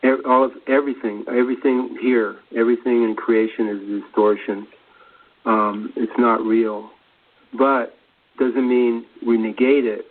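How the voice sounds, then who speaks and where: A man's voice: mid-range voice, little pitch movement, a little slow. A man chatting casually about spiritual ideas, hesitant, searching for words and repeating himself. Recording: narrow phone-like sound.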